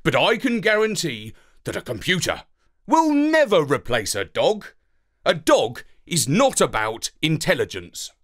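An excitable, big-hearted space dog telling his storybook adventures, played by a man. The voice is fairly high and unhurried, theatrical and enthusiastic, swooping dramatically up and down. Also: heavily acted. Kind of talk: scripted children's story narration.

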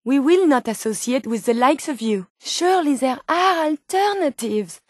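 High-pitched French robot lady. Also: animated, normal speed, dry recording, clean studio sound. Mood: polite indignation. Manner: formal, precise, with questioning tags. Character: polite, earnest, curious female robot scientist companion. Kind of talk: video game character dialogue.

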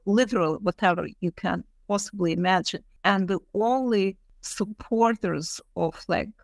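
Female academic interviewee. Mid-pitched, thoughtful and emphatic, explaining off the cuff, with a dry, echo-free sound. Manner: thoughtful, emphatic, repeats key phrases. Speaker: interviewed literature professor.

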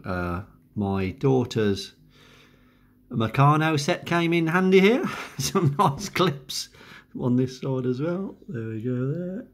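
Low-voiced man, casual DIY demo. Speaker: hobbyist radio video host. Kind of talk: casual hands-on DIY demonstration. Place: indoors at home workbench and radio desk. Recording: clear background hiss and room noise. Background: radio static, ambient noise.

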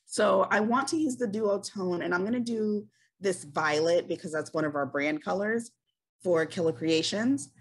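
A woman's voice: medium pitch, normal speed, husky. A woman talking through a casual screen-share tutorial as a business coach, chatty with many ums, her voice tired and a bit scratchy.

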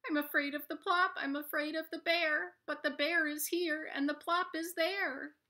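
A woman voicing the littlest rabbit in a storybook, small, timid and frightened, pleading in a high voice. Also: fairly even intonation, normal speed, sharp tone, slight background noise. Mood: scared, pleading.

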